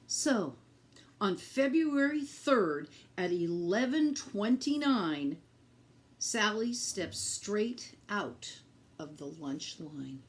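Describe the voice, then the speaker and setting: A woman reading a picture book aloud to children in an expressive, deliberate teacherly way, speaking directly to her young listeners. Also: medium pitch.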